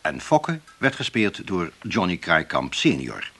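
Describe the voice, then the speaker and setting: Low, husky, expressive male announcer. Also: a little slow. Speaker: radio announcer. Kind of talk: scripted closing credits announcement.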